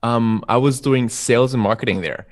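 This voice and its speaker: A man's voice: medium pitch, moderate pace, no echo. A young man with an Austrian accent, a guest telling his story on a call, upbeat and chatty. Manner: upbeat, chatty storytelling with frequent ums and laughs. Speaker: guest entrepreneur. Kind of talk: casual interview over a call. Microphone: remote call.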